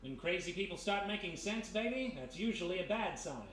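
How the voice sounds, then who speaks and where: A man's voice: high voice, animated, fairly quick, fairly bright tone. Slightly distant in an echoey room with faint noise, an actor plays a wisecracking private eye from a radio mystery. He is wry, casual and streetwise. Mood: dismissive. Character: flippant, streetwise American male private detective. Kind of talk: live old-time radio mystery acting.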